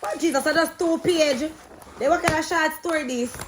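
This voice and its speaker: A woman's voice: high-pitched, normal speed, background noise. A woman with a Jamaican accent chatting bluntly and reading aloud to children like a parent vlogging at home, stopping to instruct and quiz them. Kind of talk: casual vlog chat and interactive read-aloud.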